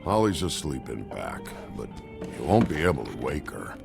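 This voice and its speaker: An actor playing a big, rough, plain-spoken working man with a guilty, defensive temper in a video game. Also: rumbling low, sing-song, normal speed, full character voice. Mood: low, uneasy. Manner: plain-spoken, folksy double negatives. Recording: music behind the voice.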